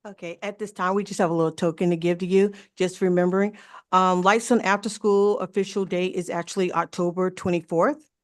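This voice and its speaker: A woman, a club director, giving a brisk and eager presentation at a city commission meeting. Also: lowish voice, steady tone, dry recording, studio quality.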